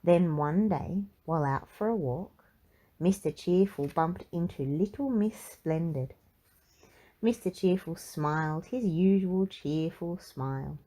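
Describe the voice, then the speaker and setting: A woman reading a children's storybook aloud in an expressive, measured narrating style. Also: fairly low pitch, poor audio. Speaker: children's book reader.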